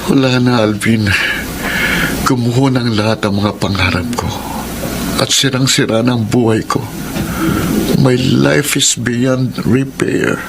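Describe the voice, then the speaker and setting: A man's voice: medium pitch, fairly even intonation, slow pace, gravelly, long pauses, noisy background. An actor playing a disgraced young man without hope in a radio drama, mournful and anguished. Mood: despairing. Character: despairing disgraced young man who has lost hope. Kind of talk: Filipino radio drama acting.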